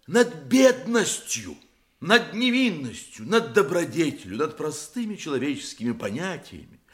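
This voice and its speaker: An actor playing a pompous, indignant defence lawyer, declaiming theatrical rhetoric with drawn-out words in a satirical radio play. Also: male voice, a little high, fairly bright tone, exaggerated voice. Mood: grandiose indignation.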